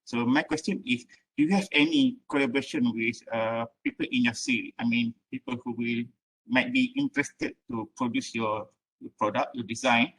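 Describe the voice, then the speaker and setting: Man, raspy mid-pitched voice, academic moderator, online question session. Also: normal speed, a bit bright, rough recording. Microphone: video call.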